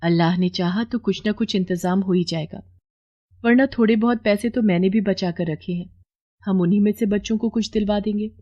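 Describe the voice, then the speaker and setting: A woman voicing a gentle, patient wife who speaks soothingly and earnestly in a cartoon moral story. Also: medium pitch, fairly even intonation, fairly quick, no echo, dull audio, lightly acted. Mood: reassuring.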